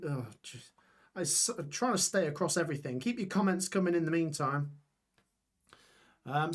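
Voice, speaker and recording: A young man hosting a live football watch-along stream, reading out scores and chatting with viewers while his voice gets hoarse. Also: a little high. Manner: chatty, reads scores aloud, reacts to chat. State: voice going hoarse.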